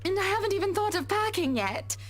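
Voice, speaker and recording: A woman voicing a troubled young wealthy wife in a game, speaking in measured, proper sentences. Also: very high voice, very animated, soft background music. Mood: careful. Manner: measured, refined speech breaking into swearing. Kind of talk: game voice acting.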